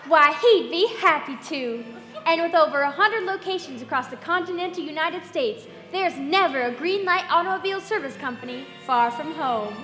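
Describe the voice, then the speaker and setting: Actress doing a chirpy high bright 1940s radio ad gal, chummy and salesy, echoey hall. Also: female voice, lively intonation, normal speed. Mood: chirpy, confiding. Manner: chirpy, exaggerated salesy delivery. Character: perky, chummy woman pitching in an old radio commercial. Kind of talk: 1940s radio advertisement acting. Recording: echoey hall, stage live, music behind.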